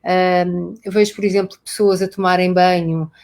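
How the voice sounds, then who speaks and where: Low, flat-voiced Portuguese woman guest. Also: normal speed. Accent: European Portuguese. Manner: hesitant, many fillers and repeated words. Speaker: company CEO, guest. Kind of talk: live online interview. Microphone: video call.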